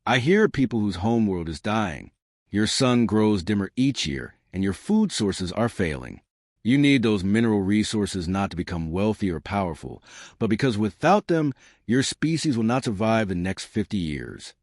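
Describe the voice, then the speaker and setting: A man voicing a quiet, compassionate male diplomat in a sci-fi story, speaking gently and with certainty. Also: fairly low pitch, animated, moderate pace, no echo, clean studio sound. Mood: gentle, solemn. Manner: soft-spoken, gentle, certain.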